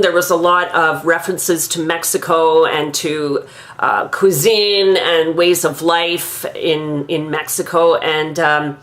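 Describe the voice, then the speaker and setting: A woman in her fifties from Quebec, thoughtfully recounting her own school memories in a measured, pausing monologue. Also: deep voice, fairly bright tone. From Quebec, Canada. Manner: measured, thoughtful, with frequent pauses. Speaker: grandmother recounting her language learning. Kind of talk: reflective personal monologue.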